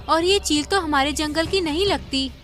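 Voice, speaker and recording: A woman's voice: high voice, normal speed, a bit bright. A woman voicing a kind, inquisitive young female sparrow in a cartoon, earnest and questioning. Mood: earnest concern.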